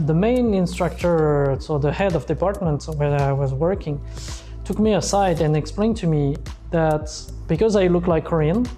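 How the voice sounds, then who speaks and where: A man's voice: a little high, dark tone. A man in his forties from France with a French accent, telling his life story in an interview, hesitant with many fillers. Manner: hesitant, frequent uh fillers, simple phrasing. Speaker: entrepreneur. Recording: faint background music.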